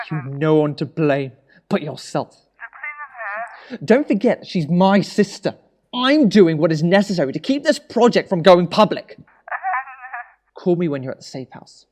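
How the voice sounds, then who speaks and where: A man playing a controlled, ruthless government minister in an audio drama, with a measured, coaxing way of talking. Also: fairly high pitch, darkish tone. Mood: angry, urgent. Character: calm, ruthless male government minister hiding a conspiracy.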